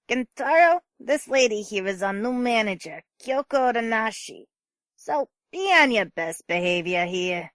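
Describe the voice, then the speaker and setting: A woman's voice: a little high, dry recording. A woman playing a brash, teasing mother who talks bluntly and bossily in a fan audio drama. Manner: blunt, slangy, bossy.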